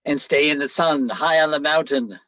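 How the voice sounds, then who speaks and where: Actor as easygoing young student, muffled. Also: male voice, on the high side, normal speed, sharp tone, no echo. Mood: carefree. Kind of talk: radio play acting.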